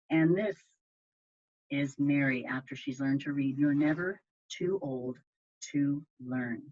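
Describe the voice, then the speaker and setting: Husky, expressive woman storyteller, dull recording. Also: deep voice. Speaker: library presenter. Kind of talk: book talk and storytelling for children. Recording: dull, lo-fi sound.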